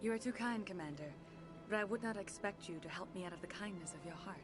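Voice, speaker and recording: A woman playing a gracious, wise alien consort in a video game, speaking in a formal, measured, solemn way. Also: mid-range voice, close to an everyday voice. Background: game music.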